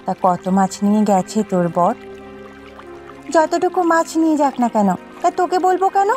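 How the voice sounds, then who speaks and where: A woman voicing a quarrelsome, sharp-tongued village sister in a cartoon. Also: sits high, sing-song, normal speed, faint music behind. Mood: prickly, suspicious. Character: quarrelsome, envious village sisters trading taunts. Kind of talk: Bengali cartoon dialogue dubbing.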